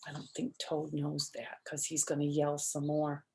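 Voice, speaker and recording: A woman's voice: low voice, completely flat delivery, a little slow, gravelly, darkish tone. A woman reading a children's story aloud expressively, with chatty asides, questions and simple explanations for young listeners.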